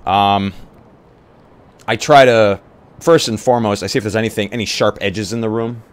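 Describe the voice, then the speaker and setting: A man's voice: on the low side, moderate pace, smooth. A man chatting casually as a player in a tabletop roleplay game, saying what his character does.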